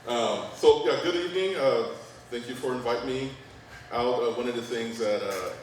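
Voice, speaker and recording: Brief: male fire chief, raspy-sore voice, lowish, friendly, distant mic with audible room noise. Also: very echoey. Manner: friendly, laughs easily, speaks with fillers. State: hoarse. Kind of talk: community meeting report. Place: community meeting room.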